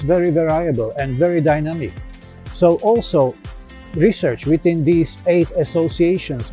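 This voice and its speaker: Brief: man, official of a science union, mellow and a little high, thoughtful with hesitant restarts, faint music under him. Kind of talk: explanatory interview statement.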